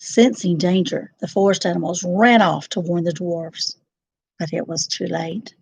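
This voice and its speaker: A woman narrating a children's storybook in an expressive, dramatic and deliberate style. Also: on the low side, gravelly, slightly rough, no room sound.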